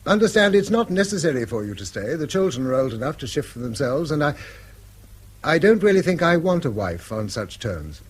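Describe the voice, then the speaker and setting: An actor playing a priggish, bitterly probing middle-aged English schoolmaster in a radio drama, with a husky voice. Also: male voice, on the high side, sing-song, a little fast.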